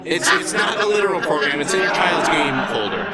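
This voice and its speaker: A man with halting, hesitant delivery, improvising in character as game master of a live tabletop game. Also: fairly high pitch, expressive, very noisy. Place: large convention hall, before an audience. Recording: live convention hall with loud crowd.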